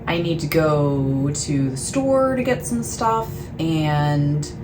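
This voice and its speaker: A woman with a fairly low voice talks casually to her viewers like a book vlogger. She rambles in a self-deprecating way and lets thoughts trail off. Clear ambient noise runs behind her voice. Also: slow. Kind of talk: casual chatty vlog talk to camera. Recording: clearly noisy, ambient background sound.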